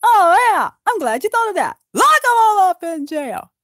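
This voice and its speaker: A woman's voice: very high-pitched, big pitch swings, moderate pace, a bit bright, no room sound, clean studio sound, voice pushed up, heavily acted. A woman voicing a haughty, sneering male elf king in a spoken story. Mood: gloating.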